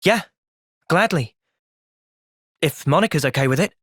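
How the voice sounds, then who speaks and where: A young man playing an earnest, thoughtful young soldier hero in a fantasy video game's cutscenes, with expressive delivery. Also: fairly high pitch, a little slow, slightly rough, dry recording, clean studio sound, heavily acted. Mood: calm, thoughtful. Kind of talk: video game cutscene acting.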